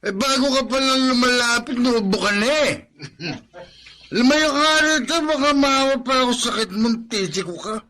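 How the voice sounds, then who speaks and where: A man's voice: extremely high pitch, moderate pace, sharp tone. An actor in a Filipino radio drama playing an aggressive, taunting neighborhood tough guy with a Filipino accent. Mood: menacing. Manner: swaggering, threatening, taunting.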